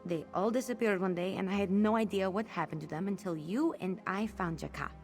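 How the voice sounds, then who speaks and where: A woman's voice: mid-range voice, brisk, faint music behind, natural voice. A woman voicing a spirited, loyal and cheeky young outlaw companion in a video game, with a casual, wry delivery. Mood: earnest, concerned.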